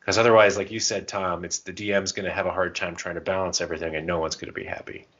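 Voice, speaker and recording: A man with a very low voice talks casually as a podcast co-host. He explains things informally, slipping into little asides and stories. The sound is somewhat dull and lo-fi. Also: level tone, fast talker, a bit bright. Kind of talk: casual chat giving gaming advice. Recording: dull, muffled lo-fi podcast audio.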